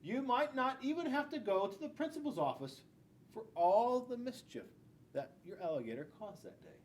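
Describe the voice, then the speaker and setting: A man's voice: extremely high pitch, big pitch swings, moderate pace, a little hiss or hum. A middle-aged man, a school police officer, reading a picture book aloud to young children, stressing key words and adding asides. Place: indoors in a school classroom.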